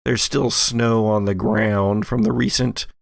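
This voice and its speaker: A man narrating a tabletop game as game master, setting scenes cinematically in deliberate short phrases. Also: lowish voice, fairly even intonation, gravelly, dry recording, clean studio sound. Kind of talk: descriptive improvised narration for audio-drama tabletop game.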